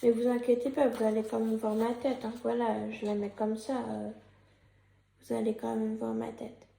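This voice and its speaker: A woman's voice: a little high, little pitch movement, moderate pace, creaky, dark tone, echoey room. A young woman with a cold and a sore throat, talking in a hesitant, casual way with many fillers and restarts, partly reading from a script. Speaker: YouTuber. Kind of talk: casual vlog commentary, partly read from a script.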